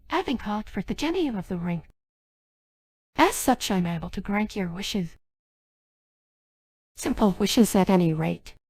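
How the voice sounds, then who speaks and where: A woman's voice: mid-range voice, a little mellow, slightly rough, no echo, clean studio sound. A synthetic female voice playing an earnest, formal young genie of a magic ring in a dubbed game cutscene. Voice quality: synthetic text-to-speech voice. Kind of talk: dubbed game cutscene dialogue by text-to-speech.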